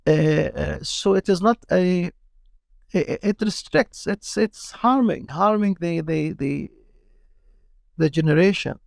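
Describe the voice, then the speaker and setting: A middle-aged man from Palestine, a teacher speaking as a guest in a casual interview, halting and often repeating his words. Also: fairly high pitch, vocal fry, dry recording, clean studio sound.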